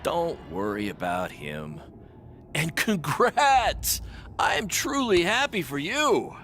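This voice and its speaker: An actor playing an upbeat, defensive boss of a small wrestling promotion in a video game, reassuring and folksy. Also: male voice, on the high side, sing-song, slow, pushed and bright, a little hiss or hum, exaggerated voice. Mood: encouraging. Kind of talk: video game cutscene acting.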